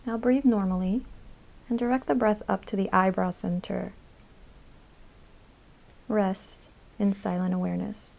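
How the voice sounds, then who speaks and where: A woman guiding a yoga nidra meditation in a calm, soothing, instructional manner, with measured phrases and pauses. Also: medium pitch, gravelly, low-quality recording. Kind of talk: guided meditation narration.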